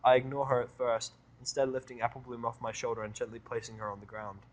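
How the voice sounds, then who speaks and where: A man narrating a first-person fan fiction audio drama in a wry, world-weary, expressive way. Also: medium pitch, fast talker.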